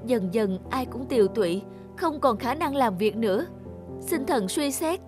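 A woman's voice: on the high side, expressive, unhurried, over music. A woman playing a selfless, worn-out peasant mother in a Vietnamese cartoon fairy tale, pleading and tender.

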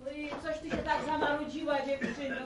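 A woman playing a scolding middle-aged country mother with a high voice. She is brisk and rustic, as in a radio play. The sound is distant and roomy, with faint noise. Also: little pitch movement, close to an everyday voice. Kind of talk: radio drama acting.

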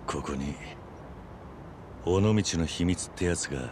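A very deep, stoic yakuza man. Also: measured pace, noisy background. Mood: resolute. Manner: terse, restrained. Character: stoic, gruff middle-aged yakuza man, respected elder brother. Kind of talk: video game cutscene acting. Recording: game music behind the voice.

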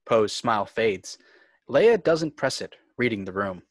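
A man's voice: fairly low pitch, animated, measured pace, no room sound, studio quality. A man narrating screenplay scene headings and action in a scripted read. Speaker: narrator reading stage directions. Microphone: video call.

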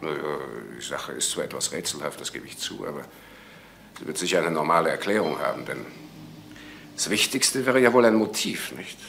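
Crime drama son: deep, sharp-edged voice, impatient, condescending and brusque, on a slightly noisy old recording. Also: male voice, expressive, a little fast, vocal fry, heavily acted. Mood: smoothly dismissive. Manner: patronising, brusque. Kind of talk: naturalistic German crime drama acting.

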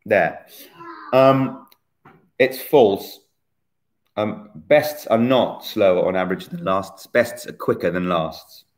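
A man in his forties hosting a casual online quiz from a bright room at home, chatty and teasing, recorded on a laptop webcam. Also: medium pitch. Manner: chatty, teasing, self-mocking. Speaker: quiz host.

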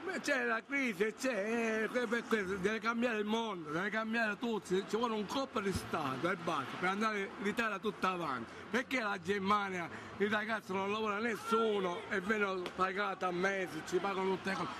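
A man's voice: high voice, moderate pace, few pauses. A middle-aged man, ranting in an agitated way and gesturing as he speaks into a handheld microphone on a street. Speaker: passer-by. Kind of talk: street vox pop. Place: city street outdoors. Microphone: handheld reporter mic. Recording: street noise outdoors.

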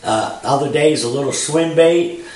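A man's voice: fairly high pitch, unhurried, a little mellow. A man in his fifties with a Missouri Ozarks accent, giving a casual, rambling fishing report full of "you know" and "uh". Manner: plain-spoken, rambling, frequent "you know" and "uh". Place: garage with a bass boat, indoors. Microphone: far camera. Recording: roomy garage echo, distant mic.

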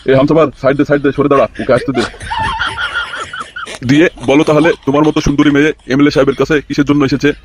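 A man's voice: fairly high pitch, level tone, racing, noisy background, lightly acted. A man voicing a bossy, flirtatious local politician in a comic cartoon, often repeating his words in a gushing way. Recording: loud music behind the voice.

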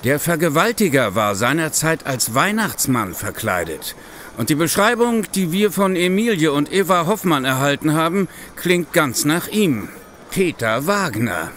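An actor playing a gruff, sarcastic male police chief inspector in an audio drama, speaking insistently. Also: a little high, big pitch swings, unhurried, fairly bright tone, a little hiss or hum. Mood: grim, certain. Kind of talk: German audio drama acting.

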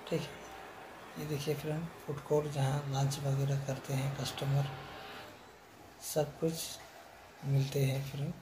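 Slow, flat-voiced man touring a mall. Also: mid-range voice, background noise. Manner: repetitive, addresses viewers as friend. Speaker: vlogger giving a walking tour. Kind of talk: casual walking tour narration. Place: outdoors and inside a large shopping mall.

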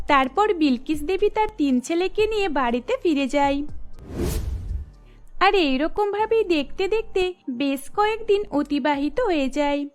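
A woman's voice: very high-pitched, a little mellow. A woman giving scripted narration for a cartoon story.

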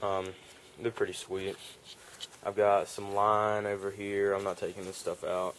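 Laid-back young man, flat voice. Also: lowish voice, measured pace, slight background noise, poor audio. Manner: laid-back, rambling with fillers. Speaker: fishing vlogger. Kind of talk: casual show-and-tell vlog. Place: outdoor wooden porch.

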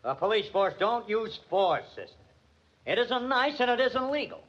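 Husky, high-pitched wisecracking American detective. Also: male voice, big pitch swings, normal speed, exaggerated voice. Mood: tough, wry. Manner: sardonic, needling, tough-guy slang. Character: wisecracking, sarcastic hard-boiled male police detective. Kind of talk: 1940s radio drama acting. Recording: dull, band-limited old radio recording.

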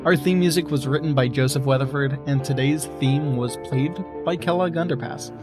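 A man's voice: medium pitch, moderate pace. A man hosting a podcast, reading a scripted outro of credits and thanks. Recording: plucked guitar music clearly behind.

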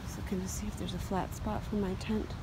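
A tired middle-aged woman thinks aloud in short, unhurried phrases, narrating a casual solo camping vlog outdoors. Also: mid-range voice, flat delivery. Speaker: solo wilderness canoe camper vlogger. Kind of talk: casual spoken-to-camera trail vlog. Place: outdoors in forest and on river. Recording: very noisy outdoor handheld recording, rushing water.

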